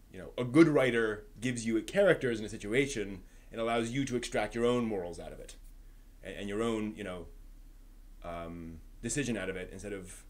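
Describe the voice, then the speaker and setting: A man hosting a live stream, talking off the cuff in a discursive, opinionated way, often repeating words as he thinks aloud. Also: lowish voice. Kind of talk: unscripted opinionated chat about books and films.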